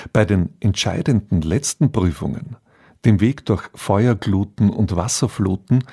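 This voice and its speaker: A man narrates a scripted radio introduction in a measured, explanatory manner. His voice is fairly low, dark and husky, with deliberate emphasis on key words.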